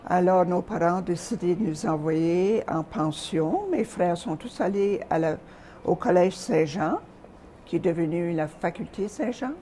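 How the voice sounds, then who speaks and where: An older woman from Calgary, Canada, looks back on her life in a soft, mellow voice. She speaks hesitantly, pausing often and filling gaps as she recalls details. There is a faint hiss behind her voice. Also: lowish voice. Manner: soft-spoken, hesitant with frequent pauses. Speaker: interview guest. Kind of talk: reminiscing in an interview.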